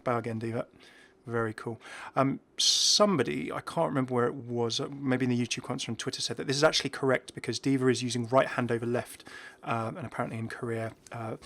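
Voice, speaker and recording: A man's voice: medium pitch, fairly bright tone. A man hosting a gaming video, talking through game cosmetics with casual, enthusiastic, rambling reactions.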